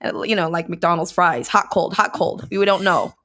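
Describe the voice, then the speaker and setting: An American woman, a comedian guest, talking in casual podcast chat in an animated, self-mocking way. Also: lowish voice, moderate pace.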